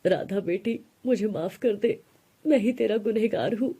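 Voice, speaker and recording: Woman acting a sorrowful middle-aged father, husky. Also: mid-range voice, unhurried, warm tone. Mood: remorseful. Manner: sorrowful, resigned. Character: remorseful, weak-willed middle-aged father.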